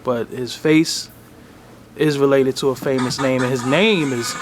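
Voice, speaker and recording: A man's voice: medium pitch, steady tone, unhurried, slight background noise. Slangy laid-back male interviewer. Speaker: interviewer and host. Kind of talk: casual hip-hop interview. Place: recording studio.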